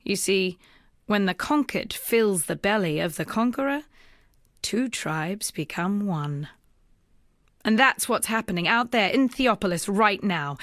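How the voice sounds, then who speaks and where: An actress voicing a grave, resolute young woman rebel in a fantasy video game, speaking in a measured, solemn storytelling way. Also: medium pitch, fairly bright tone, no room sound, studio quality. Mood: solemn, ominous. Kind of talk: dramatic scripted video game dialogue.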